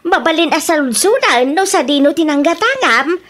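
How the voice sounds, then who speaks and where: Radio play: shrill, singsong older neighbor lady who teases and exclaims a lot, a woman acting her out. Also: animated, normal speed, fairly bright tone, slight background noise. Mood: chatty. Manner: exclaiming, teasing. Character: chatty older woman neighbor selling pots.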